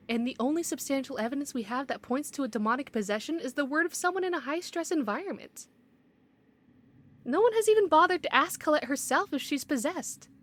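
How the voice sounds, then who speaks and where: A woman playing a skeptical, by-the-book investigator in an audio drama, speaking precisely and argumentatively. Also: high-pitched. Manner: precise, argumentative, matter-of-fact. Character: skeptical, bookish young female paranormal investigator, stubborn and by-the-book.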